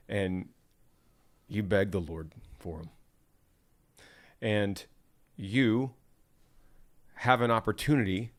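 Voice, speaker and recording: Male podcast host, fairly low voice, relaxed conversational delivery. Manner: relaxed, with soft filler sounds and restarts. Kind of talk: conversational podcast, reading listener questions.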